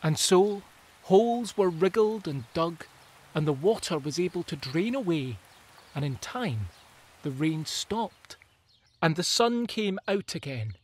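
A man reading a children's story aloud in a gentle, measured and expressive way. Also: on the high side, slightly rough. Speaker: narrator.

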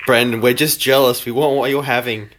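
A man's voice: mid-range voice, steady tone, a little fast. A man giving joking, mock-documentary commentary from behind a handheld camera in a living room. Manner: mock-documentary narration, playful. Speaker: person filming. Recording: noisy handheld camera audio.

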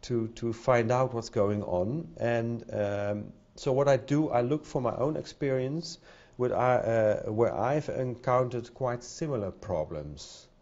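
A man's voice: mid-range voice, measured pace, few pauses, darkish tone. Hesitant Dutch-accented man at a podium. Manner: hesitant, many fillers and repeated words. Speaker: conference speaker. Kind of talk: conference talk. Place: conference hall with audience. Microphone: podium microphone. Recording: dull lo-fi sound.

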